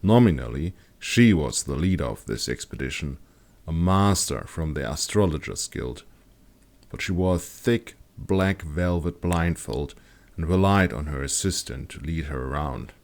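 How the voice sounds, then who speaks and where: A man's voice: very deep voice, expressive, a little slow, darkish tone. A man reading a scripted fantasy story as an audiobook narrator. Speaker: author narrating own audiobook.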